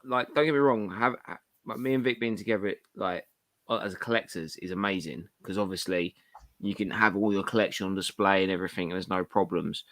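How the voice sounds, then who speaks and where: A man's voice: low voice, steady tone, brisk, fairly bright tone, no room sound. A man in a casual, jokey group chat about his hobby, talking as a panelist on a podcast. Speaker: podcast panelist and toy collector.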